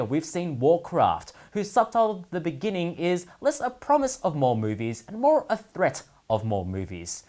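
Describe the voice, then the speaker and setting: A man presenting scripted comedic commentary as a show host, with a sardonic, mock-serious delivery of punchlines. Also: high-pitched. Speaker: host of a gaming news segment.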